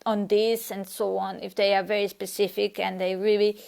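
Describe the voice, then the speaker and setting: A woman's voice: mid-range voice, level tone, moderate pace, vocal fry, darkish tone, clean tone. A woman whose first language is Serbian, giving a casual language lesson and answering a viewer, with frequent hesitations and restarts. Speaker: language teacher.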